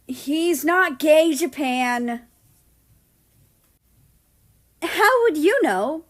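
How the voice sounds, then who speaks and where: A woman's voice: sits high, very animated, takes it slowly, pitched above the performer's own voice, heavily acted. A woman voicing a casual, playful young man with slangy, teasing speech in a read-aloud story. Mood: exasperated. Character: young male America, casual, playful, slangy, easily exasperated.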